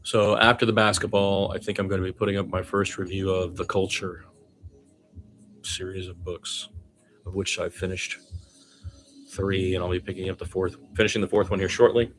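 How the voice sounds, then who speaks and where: Low-voiced man calling basketball casually. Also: level tone, moderate pace, music in the background. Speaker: radio play-by-play basketball commentator. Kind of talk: informal solo sports commentary with conversational asides.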